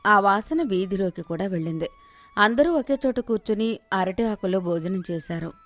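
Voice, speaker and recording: A woman's voice: mid-range voice, lively intonation, normal speed. A woman reading a scripted moral story as its narrator. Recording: music behind the voice.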